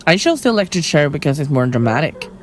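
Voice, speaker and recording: An actress playing a defiant, deluded condemned killer and artist in an audio drama, theatrical and flippant. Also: female voice, low voice, very animated, fast talker. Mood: cocky.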